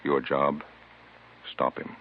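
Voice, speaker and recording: A man's voice: rumbling low, completely flat delivery, slow pace, vocal fry. A man giving grave, portentous dramatic narration as announcer of a vintage radio crime show. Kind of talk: 1950s dramatic radio narration in second person. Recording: tinny vintage radio recording. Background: loud brass dramatic music.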